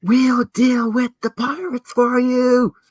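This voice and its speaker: A man's voice: very high voice, little pitch movement, slow pace, no room sound, studio quality, voice pushed up, full character voice. A man voicing a cheery, reassuring ship's crew member called out in a tabletop fantasy game. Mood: cheerily reassuring.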